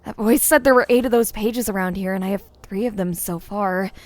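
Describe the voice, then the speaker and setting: A woman's voice: medium pitch, fairly quick, no echo, lightly acted. A woman playing a frightened but focused young woman, thinking to herself in horror audio fiction. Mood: tentative resolve.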